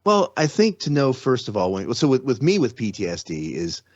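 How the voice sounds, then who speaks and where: A man's voice: mid-range voice, wildly swooping pitch, normal speed, no echo. A middle-aged man speaking as a guest in a casual interview, rambling with many restarts and tag questions. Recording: dry, dull lo-fi sound.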